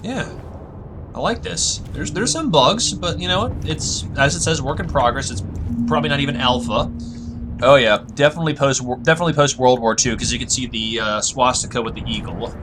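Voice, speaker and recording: A man with a husky, tired voice, musing aloud in relaxed let's-play commentary as a gaming channel host. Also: medium pitch, brisk, noisy background.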